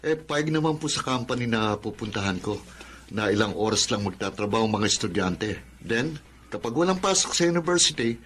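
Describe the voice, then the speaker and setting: A man's voice: lowish voice, animated, brisk, a bit bright, a little hiss or hum. An actor playing an eager, respectful young male university student in a radio drama. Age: young adult.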